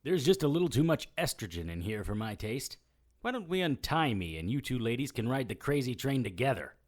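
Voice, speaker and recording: An actor playing a wry, self-mocking immortal occult investigator who narrates an audio drama in noir style. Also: male voice, mid-range voice, sing-song, brisk, dry recording, clean studio sound. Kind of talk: scripted first-person audio drama narration.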